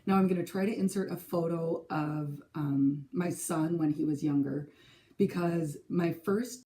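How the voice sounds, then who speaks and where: A woman's voice: low-pitched, a little slow, mellow. A woman in her forties with a Minnesotan accent, a teacher chatting casually in a rambling, self-correcting way for her vlog. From California. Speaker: second grade teacher and stitching vlogger.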